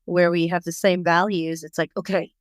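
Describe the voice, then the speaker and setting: A middle-aged woman from Bangladesh explains her parenting ideas on a podcast, reflective and measured. Her voice sits low and comes across smooth and clean. The sound is dry and close. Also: flat delivery, no room sound. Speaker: parenting coach guest. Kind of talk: podcast interview.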